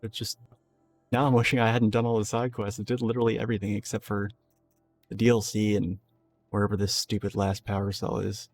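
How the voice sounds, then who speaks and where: A tired, hoarse man streaming a game, rambling in loose casual talk and swearing freely. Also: fairly low pitch, little pitch movement, fairly quick, darkish tone, soft background music. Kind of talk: casual live-stream chat.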